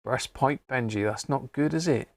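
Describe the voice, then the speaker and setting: A man's voice: medium pitch, moderate pace, dry recording. A British man hosting a casual live hobby stream, talking in a rambling, matey way. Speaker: host of a live radio-repair stream. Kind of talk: casual livestream chat.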